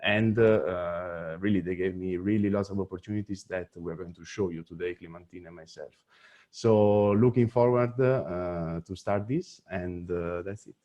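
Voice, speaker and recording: A man's voice: low voice, fairly even intonation, moderate pace, dark tone, dull audio. A man with an Italian accent introducing himself on a webinar, hesitant and repeating phrases. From Italy. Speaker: deputy executive director. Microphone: video call.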